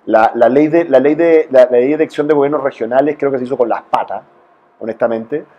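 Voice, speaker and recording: A man's voice: mid-range voice, fairly quick, highs cut off. A man with a Chilean accent speaking as a forum guest, arguing informally with anecdotes in an emphatic, colloquial way peppered with tag questions. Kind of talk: informal spoken argument with anecdotes.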